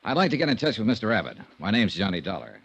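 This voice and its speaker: An actor playing a wry, persistent insurance investigator in a 1950s American radio drama, with a clipped, conversational manner. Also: male voice, on the low side, wildly swooping pitch, quick pace, creaky, a bit bright, slightly rough, full character voice. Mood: probing. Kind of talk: 1950s radio detective drama acting and narration. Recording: dull vintage 1950s radio recording.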